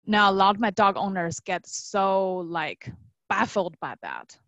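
A young woman from China chats as a guest expert in a casual interview. Her pitch sits in the middle for a woman, and she explains things in an animated way, tagging her points with quick check-in questions. The recording is dry, with very little room sound. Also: dry recording, dull audio. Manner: animated storytelling, frequent tag questions, quotes imagined clients. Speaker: guest, dog trainer.

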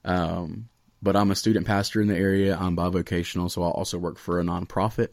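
A man's voice: sits low, level tone, a little fast, vocal fry, a little mellow, dry recording, studio quality. An American man in his late twenties chatting casually and earnestly as a podcast host, with plenty of "like" and "you know". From Raleigh area, United States. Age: late 20s. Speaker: podcast host, student pastor.

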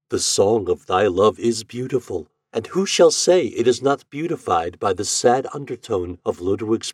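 A man voicing an aged, kindly violinist in a storybook, speaking in a solemn, measured way. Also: on the low side, darkish tone, breathy edge, dry recording, studio quality, distant mic. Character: aged, gentle old male violinist, solemn and kind.

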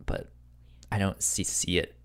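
A man co-hosting a podcast in casual chat, halting and sometimes stammering over his words. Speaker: podcast co-host.

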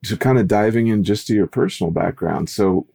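Very deep, mellow male podcast host. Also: brisk, gravelly, slightly rough. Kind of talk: casual conversational interview.

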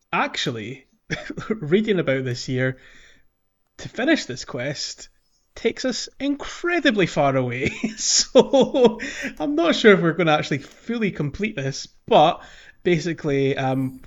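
A man hosting a casual podcast chat, chatty and laughing often between fillers. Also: mid-range voice. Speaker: podcast host. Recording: dull lo-fi podcast audio.

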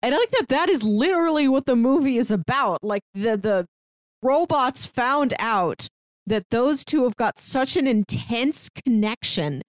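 A woman's voice: a little high, lively intonation, a little slow, dry recording. A woman co-hosting a casual film podcast over a remote call, chatty and laughing as she talks. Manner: chatty, laughs while talking. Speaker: podcast co-host.

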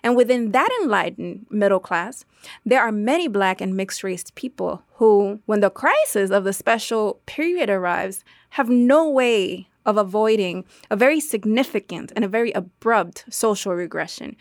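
A woman reading a dubbed translation of an interview answer in an articulate, deliberate, formal way. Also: medium pitch, animated, no room sound, clean studio sound, close to an everyday voice. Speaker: voice-over translator dubbing an interview guest. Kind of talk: measured, read dubbed translation.

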